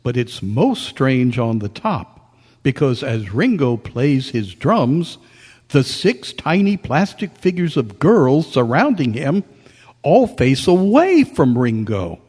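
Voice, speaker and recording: A man acting a gentle listener and narrator in a staged radio drama, speaking deliberately. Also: mid-range voice, big pitch swings, slightly rough, heavily acted. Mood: gentle, concerned. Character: Colonel Bear, kindly listener comforting a ghost, also narrator. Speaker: narrator and actor. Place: live club meeting in a hall. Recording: echoey hall with long reverb.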